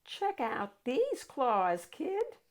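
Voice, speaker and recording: Woman putting on a raspy, boastful monster. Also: high voice, huge pitch swings, very slow, pitched above the performer's own voice, exaggerated voice. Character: rough, boastful monster with razor-sharp claws.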